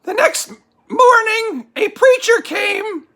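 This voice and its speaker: An extremely high, creaky spooky puppet storyteller. The character reads scary bedtime tales theatrically, excitable and exclamatory, stretching words for drama and easily sidetracked. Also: female voice, full character voice. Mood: spooky, dramatic. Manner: exclamatory, drawn-out dramatic delivery.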